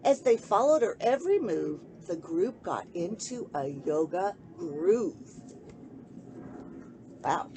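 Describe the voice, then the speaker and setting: A woman reading a rhyming children's story aloud in an expressive, sing-song way, speaking directly to young listeners. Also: on the low side, slow pace, background noise.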